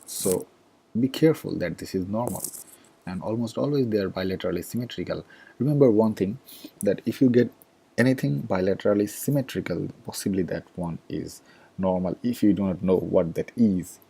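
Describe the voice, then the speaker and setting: A man with a Bangladeshi accent giving a recorded medical lecture over slides, halting, with many fillers and restarts and some offhand sarcastic asides. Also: low-pitched, gravelly, mellow, husky.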